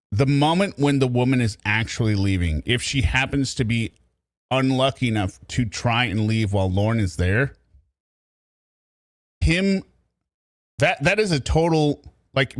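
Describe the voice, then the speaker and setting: A man hosting casual true crime commentary, animated and riffing, laughing as he goes. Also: medium pitch, dry recording, studio quality. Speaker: commentary host.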